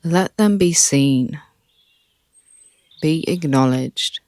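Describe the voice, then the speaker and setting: Super slow calm meditation voice, soft, dry close mic, quiet birds in the back. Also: medium pitch, no echo. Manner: calm, soft, with long pauses. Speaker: meditation guide.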